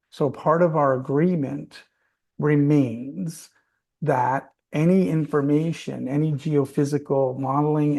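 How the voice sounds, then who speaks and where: A man's voice: fairly high pitch, darkish tone. A man speaking as a company executive, answering questions off the cuff on an earnings call and repeating phrases for emphasis.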